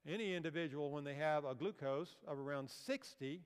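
A man's voice: on the high side, fairly quick, clear, resonant tone. Older man lecturing in a reverberant hall. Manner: explanatory, asks audience questions. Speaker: health seminar presenter. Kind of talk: health lecture with slides. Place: large church auditorium stage.

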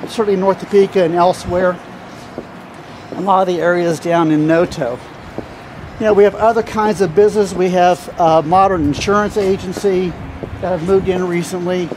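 A man in his fifties giving an informal walking tour outdoors, speaking in halting phrases with trailing lists. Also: high voice. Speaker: arts center director giving a walking tour. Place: outdoors on a small downtown street, walking. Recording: outdoor street noise with passing cars.

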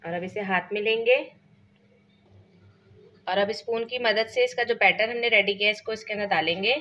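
Woman cooking-channel host, Pakistani Urdu accent, bright forceful voice, slightly dull lo-fi home recording, chatty step-by-step delivery. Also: mid-range voice, normal speed. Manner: chatty step-by-step explaining, frequent filler phrases. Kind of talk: casual cooking demonstration. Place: home kitchen.

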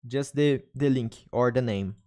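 A man's voice: medium pitch, darkish tone. Young Brazilian man streaming in English. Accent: Brazilian Portuguese. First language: Portuguese. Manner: halting non-native English, restarts and searches for words. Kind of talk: casual live-stream chat while gaming. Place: small room at a desk. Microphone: studio mic on arm, headphones.

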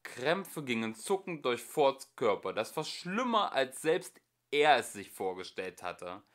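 Slow, lively young male audiobook narrator. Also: mid-range voice. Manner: slow and expressive. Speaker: audiobook reader. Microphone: home recording.

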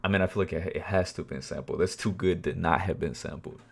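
Soft-spoken young man with a very low voice. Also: fast, gravelly, slightly rough. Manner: enthusiastic, softly spoken at night. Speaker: music reaction host. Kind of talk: casual reaction commentary. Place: small bedroom, indoors. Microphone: wired earbuds mic, webcam framing. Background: song playing faintly.

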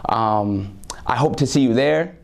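A young man speaks to a school audience in an unhurried, soft-spoken way, leaning on ums and uhs between phrases. He is close on a lapel mic in a small studio. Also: mid-range voice, creaky. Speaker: poet promoting an event. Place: small studio with a curtain backdrop.

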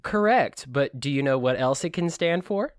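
A man's voice: fairly high pitch, expressive, moderate pace, very noisy. A man co-hosting a book-club podcast in casual, joking chat. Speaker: podcast co-host.

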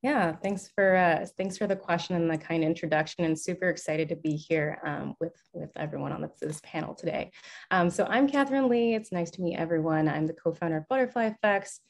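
A woman's voice: on the low side, a little fast. A young American woman introducing herself as a panelist on a video call, upbeat and fluent. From United States. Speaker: co-founder and panelist.

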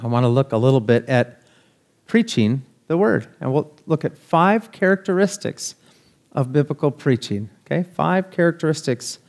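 A man's voice: medium pitch, darkish tone. A man in his thirties preaching a sermon from a church pulpit, reading scripture aloud and then explaining it in a conversational way. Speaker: pastor. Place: church sanctuary, speaking from a pulpit. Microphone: pulpit microphone, filmed by a far camera.

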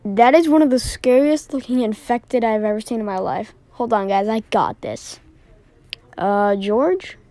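Amateur animation voice: woman, fairly high, unhurried, drawn-out dramatic delivery. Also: dark tone, no echo. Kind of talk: amateur voice acting for animated story.

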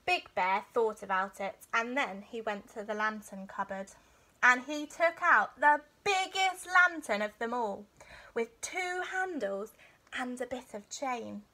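Unhurried, high-voiced young woman reading a storybook. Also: animated, pushed and bright, poor audio. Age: young adult. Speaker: teacher reading a bedtime story. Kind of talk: expressive children's storybook narration. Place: small furnished room indoors.

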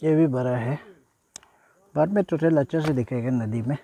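A man's voice: medium pitch, moderate pace, gravelly, warm tone. A man, chatting casually to camera as a vlogger, repeating himself and often exclaiming. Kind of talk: casual vlog narration to camera. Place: outdoors by a jungle river in the rain.

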